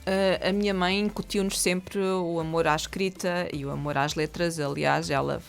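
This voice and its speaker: A woman's voice: on the low side, fairly even intonation, moderate pace, gravelly, faint music behind. A middle-aged woman whose first language is not Portuguese, speaking with a European Portuguese accent as a guest in a radio interview, with careful, literary phrasing. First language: another language. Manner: articulate, literary phrasing with frequent laughs. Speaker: author and guest.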